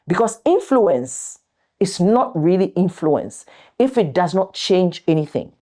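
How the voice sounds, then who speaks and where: A middle-aged woman giving a motivational talk as host, speaking deliberately and emphatically in short, weighted phrases with pauses. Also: fairly low pitch, dark tone. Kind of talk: motivational talk to an audience of viewers.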